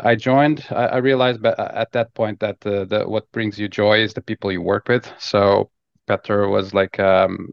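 A man's voice: on the low side, creaky, smooth, no echo. A man, talking casually as an interview guest on dull, dry lo-fi audio. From Lisbon, Portugal. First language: Portuguese. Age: 40s. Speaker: podcast guest, technology executive.

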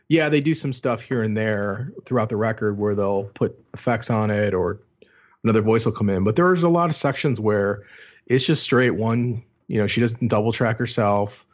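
Low-voiced man musing over an album. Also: normal speed, dull audio. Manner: thoughtful, meandering, many restarts. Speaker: podcast co-host. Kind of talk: casual album discussion.

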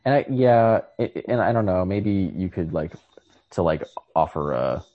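A man's voice: low voice, animated, a little slow, phone-quality sound. A man co-hosting a podcast in casual literary chat, speaking tentatively and often repeating himself as he searches for the point. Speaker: podcast co-host.

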